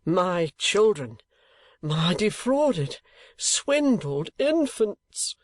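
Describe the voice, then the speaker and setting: A man playing pompous, excitable Mr Kenwigs. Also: fairly high pitch, unhurried, no room sound. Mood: outraged. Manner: pompous, wrathful outbursts.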